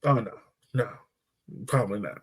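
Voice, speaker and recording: A man co-hosting a podcast, talking football in a loose, animated way with lots of fillers and restarts. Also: on the low side, normal speed, breathy edge. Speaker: podcast co-host. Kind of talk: casual sports chat.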